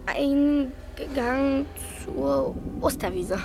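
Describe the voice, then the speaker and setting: A woman's voice: high-pitched, expressive, drawn-out words, a little mellow, a little hiss or hum, heavily acted. A woman playing a plucky, impatient little child with a cheeky, exclaiming manner in a children's radio play. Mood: awed.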